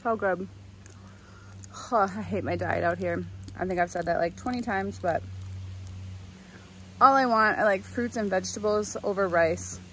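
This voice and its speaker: A young adult woman with a medium-pitched voice talks casually and rambles a little, as in a personal video diary. It is recorded on a handheld phone outdoors, with faint ambient noise behind her. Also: moderate pace, pauses often, fairly bright tone. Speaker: vlogger hiking a long trail. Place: outdoors in woodland. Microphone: phone at arm's length.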